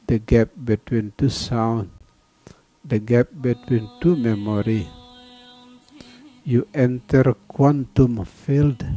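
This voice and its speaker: Slow, calm man guiding meditation. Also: medium pitch, no echo, low-quality recording. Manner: slow, calm, with long pauses. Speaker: meditation teacher. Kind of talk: guided meditation.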